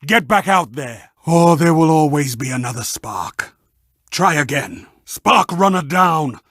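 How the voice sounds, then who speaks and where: A theatrical game arena announcer, with a high, very animated voice and a forceful edge. He fires off short, savoring, dramatic calls to spur fighters on, in a dry close recording. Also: unhurried, dry recording, heavily acted. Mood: consoling, stern. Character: theatrical, fervent male arena match announcer urging on fighters. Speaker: match announcer.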